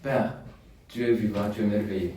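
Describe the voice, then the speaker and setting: A man's voice: on the low side, steady tone, moderate pace, slight background noise. Man preaching in echoey hall. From Rwanda. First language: Kinyarwanda. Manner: rises loud and emphatic on key points, drops soft in prayer. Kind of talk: sermon. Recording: echoey room, distant mic.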